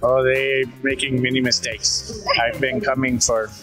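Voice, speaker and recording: A man's voice: mid-range voice, little pitch movement, slow, fairly bright tone, soft background music. A middle-aged man answering street interview questions on a plaza in short, plain replies. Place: outdoor town plaza. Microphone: handheld mic held by interviewer.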